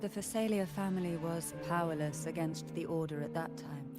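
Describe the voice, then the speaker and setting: A woman voicing a composed, guarded noblewoman matriarch in a video game, speaking softly in a measured, formal and cryptic way. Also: deep voice, loud music behind the voice, natural voice. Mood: solemn, guarded.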